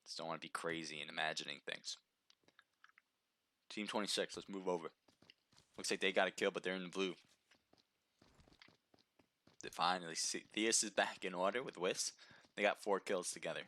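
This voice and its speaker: A man giving chatty play-by-play commentary on a live mobile game match, his voice slightly hoarse from a sore throat. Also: deep voice, steady tone, moderate pace, long pauses, sharp tone. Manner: chatty, upbeat, reads viewer names aloud.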